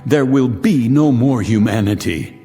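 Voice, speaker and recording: A man's voice: mid-range voice, expressive, measured pace, dark tone, in a large hall, soft background music, close to an everyday voice. A man playing a sneering doomsday cynic. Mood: gloating. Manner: sneering, theatrical, mocking. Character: cynical wealthy man gloating over doomsday. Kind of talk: 1980s television drama acting.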